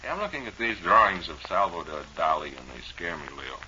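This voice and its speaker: A man's voice: sits low, very animated, fast. A man hosting a radio show with wry, rambling, playful comic banter. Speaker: radio host. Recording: dull old radio recording, faint noise.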